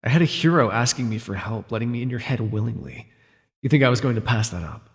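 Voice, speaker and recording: A man playing a jaded, wry imprisoned telepath supervillain in an ASMR audio roleplay, speaking in a sardonic, weary, gravelly way with sighs. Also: medium pitch, lively intonation, quick pace, in a large hall, lightly acted. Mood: defensive, forceful.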